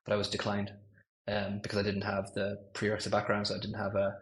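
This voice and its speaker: Low, flat-voiced Irish man. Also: fast talker. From Ireland. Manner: rambling and self-correcting, many ums, laughs easily. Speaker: guest, machine learning engineer. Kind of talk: casual podcast chat.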